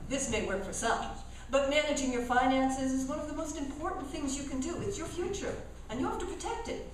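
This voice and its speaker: A woman's voice: sits high, a little fast. A woman announcer delivering a scripted radio advertisement. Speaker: commercial announcer. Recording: echoey hall, distant mic, faint noise.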